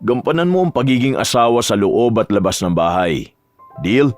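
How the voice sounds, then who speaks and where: A man playing a cool, demanding young billionaire groom in a romance audio drama, speaking in a measured, businesslike way. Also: mid-range voice, expressive, no echo, soft background music, close to an everyday voice.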